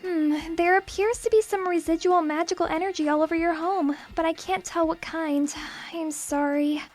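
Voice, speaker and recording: A woman's voice: very high-pitched, steady tone, normal speed, a little mellow, soft background music. A woman voicing an earnest, studious young female unicorn who speaks politely and thoughtfully.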